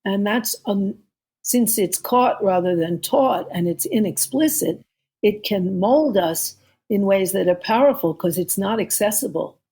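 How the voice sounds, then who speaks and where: A woman co-hosting a podcast, speaking in a measured, explanatory way. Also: mid-range voice, lively intonation, warm tone, slightly rough. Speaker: podcast co-host. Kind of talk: conversational podcast discussion.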